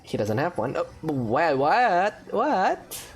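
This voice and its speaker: A woman's voice: deep voice, big pitch swings, takes it slowly. A woman commenting casually and with amusement while playing a game. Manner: amused, chatty. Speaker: gameplay commentator. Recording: game music behind voice.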